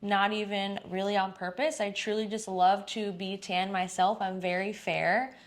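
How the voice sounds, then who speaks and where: A woman's voice: medium pitch, little pitch movement, moderate pace. A woman in her thirties from Texas, a salon owner answering interview questions in a chatty, upbeat way with plenty of fillers. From Dallas-Fort Worth, Texas. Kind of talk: casual interview.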